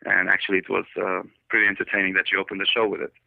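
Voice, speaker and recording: A man's voice: low-pitched, level tone, rapid-fire, creaky, no room sound. A man from Israel, a singer guest on a radio interview, talking in a hesitant way with many ums and restarts. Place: studio. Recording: tinny phone line.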